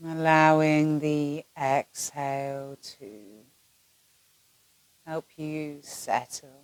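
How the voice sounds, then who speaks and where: A woman teaching yoga nidra, leading a guided relaxation in a soothing, gentle manner with long pauses between phrases. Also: low voice, fairly even intonation, clear, resonant tone, dry recording, poor audio.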